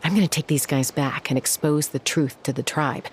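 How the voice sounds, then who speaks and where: A woman's voice: low-pitched, steady tone, normal speed, a little hiss or hum, lightly acted. A woman voicing a determined young flier and warrior in a video game, blunt and impatient to act.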